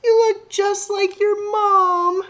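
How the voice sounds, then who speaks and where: A woman's voice: extremely high pitch, fairly even intonation, slow, a bit bright, voice pushed up, heavily acted. A woman voicing an earnest, spirited little girl in a storybook, speaking emphatically and devoted to her pet squash. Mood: amazed. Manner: emphatic, exclaiming.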